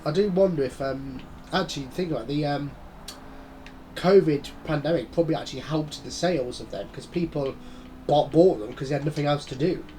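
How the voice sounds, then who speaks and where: A man's voice: on the high side, fairly quick, warm tone, a little hiss or hum, poor audio. A man giving casual, rambling commentary while playing a racing game. He has a blocked nose from a head cold and breathes through his mouth. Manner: rambling, chatty, muttering asides. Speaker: gaming channel host.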